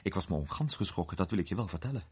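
Storybook raconteur played by a man: deep, lively, indignant and emphatic about his narrow escape. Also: very fast, husky, heavily acted. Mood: excited recounting. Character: Varden, agitated man recounting his frightening escape as anecdote. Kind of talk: dramatized storybook reading.

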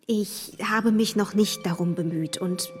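An actress in a German radio play voicing a reserved, proud young gentlewoman, speaking with courteous, restrained firmness. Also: medium pitch, measured pace, breathy edge, in a large hall. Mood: guarded, resolute.

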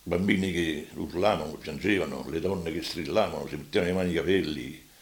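A man's voice: deep voice, brisk. An older man with a Roman accent giving spoken testimony as an eyewitness. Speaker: witness recalling wartime.

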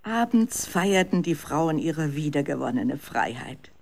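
A woman's voice: sits low, big pitch swings, unhurried, gravelly. A woman narrating a children's radio drama as a scripted storyteller.

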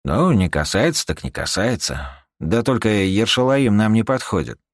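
Casting: man voicing an excitable, talkative ship engineer for an audiobook, raised pitch, very animated, fussy delivery. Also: moderate pace, dry recording, clean studio sound. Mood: skeptical. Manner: agitated, wheedling, colloquial.

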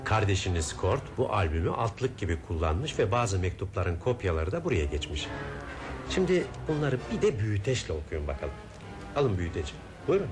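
Low, lively-pitched male radio detective. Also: brisk, music in the background. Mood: businesslike. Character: composed, methodical male detective explaining a murder case. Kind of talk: Turkish radio theatre acting.

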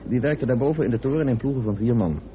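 An actor playing a composed, well-informed British parliamentarian turned sleuth in a Dutch radio play, explaining things in a measured, deliberate way. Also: male voice, medium pitch, close to an everyday voice. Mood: explaining, assured. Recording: narrow, phone-like old radio recording.

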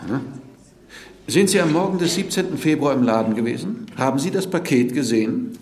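In an echoey room, an actor plays a skeptical male examiner. His questions are pointed, with a very lively swing in pitch. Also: a little fast, a little hiss or hum, close to an everyday voice. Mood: probing, skeptical. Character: probing male court examiner questioning a witness. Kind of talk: radio drama acting.

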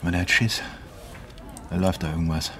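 A man's voice: sits low, level tone, fast talker, fairly bright tone, a little hiss or hum. A man playing an embittered, suspicious police detective in a naturalistic radio crime drama, blunt, colloquial and sardonic. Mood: suspicious, low.

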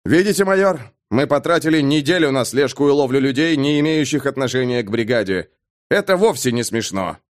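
A man's voice: medium pitch, animated, brisk, natural voice. Stern captain, voiced by a man, dry, curt, commanding, formal, audiobook fantasy. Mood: dry reproach.

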